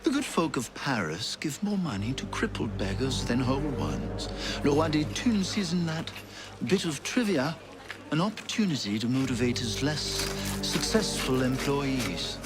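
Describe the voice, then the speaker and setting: An actor playing a theatrical, mocking rogue with a macabre streak and an ironic drawl in a video game. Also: male voice, mid-range voice, lively intonation, normal speed, music in the background, natural voice.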